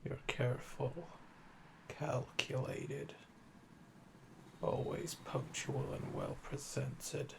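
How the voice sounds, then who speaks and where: Man playing a slow, gravelly, seductive assassin. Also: mid-range voice, flat delivery, noisy background. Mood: smug, teasing. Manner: slow, deliberate, teasing, smug. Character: calm, flirtatious, obsessive male assassin under interrogation. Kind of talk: intimate ASMR audio roleplay.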